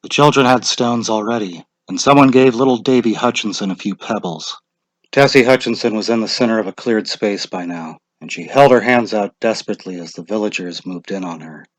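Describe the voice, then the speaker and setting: A man's voice: fairly low pitch, brisk, dry recording, rough recording. A man narrating a short story as a scripted dramatic reading.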